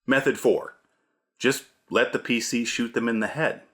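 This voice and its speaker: A man's voice: medium pitch, fairly even intonation, moderate pace, a bit bright. A man hosting a scripted tabletop gamemastering lesson, speaking in an emphatic, theatrical, punchy way. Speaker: host, game master giving advice.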